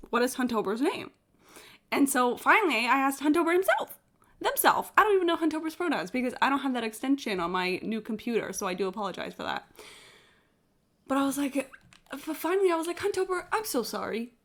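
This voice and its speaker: A woman's voice: high voice, fairly quick. A woman livestreamer chatting casually during a game, telling rambling anecdotes in a blunt, sweary way full of filler "like"s. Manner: blunt, sweary, animated storyteller, frequent "like". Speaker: live streamer. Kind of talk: casual gaming stream chat, rambling anecdotes. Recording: game music playing behind the voice. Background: video game music.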